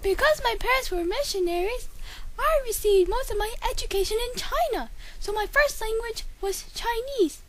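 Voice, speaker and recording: A woman's voice: very high-pitched, normal speed, background noise. A teenage girl voicing a woman author who recounts her life in a plainly read school report. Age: teens. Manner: plain, steady reading of a report. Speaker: student. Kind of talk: school project doll play.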